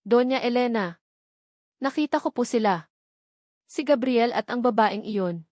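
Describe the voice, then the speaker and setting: A woman's voice: on the high side, lively intonation, unhurried, a little mellow, no room sound, clean studio sound. A woman with a Filipino accent voicing a jealous, desperate young fiancée in a romance story, agitated and pleading.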